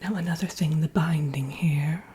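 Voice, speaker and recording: A woman voicing a vain, sly wicked witch in a breathy, whispered ASMR roleplay, chatting coyly as if with an old friend. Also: low-pitched, fairly even intonation, dark tone. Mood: appraising, soft. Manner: theatrical, coyly boastful, doting, slow and murmuring.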